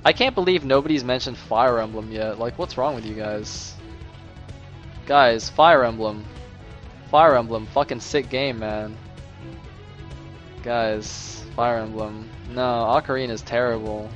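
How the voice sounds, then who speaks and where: Young male caster, hoarse and slightly sick, chatty solo commentary with music underneath. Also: mid-range voice, unhurried, highs cut off. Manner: chatty, rambling asides, excitable bursts. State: losing his voice, a little sick. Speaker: esports caster.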